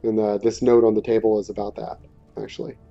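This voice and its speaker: A man giving casual let's play commentary in a hesitant, low-key, conversational way. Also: little pitch movement, fast, mellow. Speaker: gaming video creator. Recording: dull muffled audio, faint music behind. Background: faint game music.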